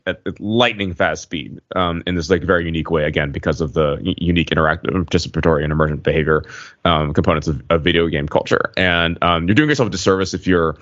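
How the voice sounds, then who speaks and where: A man co-hosting a casual analytical podcast, circling back over his words in a halting way as he thinks. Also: low-pitched, fairly bright tone, dry recording, lo-fi sound. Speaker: podcast co-host.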